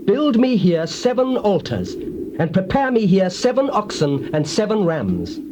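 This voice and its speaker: An actor playing a solemn biblical prophet, quick to anger, in theatrical, declamatory archaic English. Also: male voice, sits high, very animated. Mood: solemn, resolute. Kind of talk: dramatized scripture acting in archaic English. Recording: noisy, dull old cassette tape.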